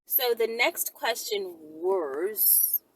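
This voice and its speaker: A woman host chats casually to her audience in a high, very lively voice. She is giggly and playful and lingers over her words at a slow pace. The recording has heavy vehicle-like noise around her, and she sounds a little distant from the microphone. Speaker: host answering viewer questions. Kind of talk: casual vlog chat to viewers. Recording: loud ambient vehicle noise, off the mic.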